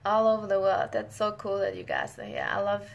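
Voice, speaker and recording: A woman's voice: mid-range voice, huge pitch swings, brisk, gravelly. A young woman from northern Italy, a scientist who speaks Italian natively, chatting casually with viewers in a live Q&A and often restarting her sentences. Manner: friendly, chatty, many restarts and short laughs. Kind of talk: casual live-stream chat.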